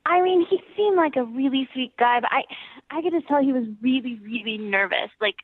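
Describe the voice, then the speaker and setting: A woman's voice: high voice, fairly quick, husky, dry recording. A young woman on a phone call to a radio show, casually telling a story and peppering it with "like". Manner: filler-heavy, uses "like" often. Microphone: telephone. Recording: narrow tinny phone line.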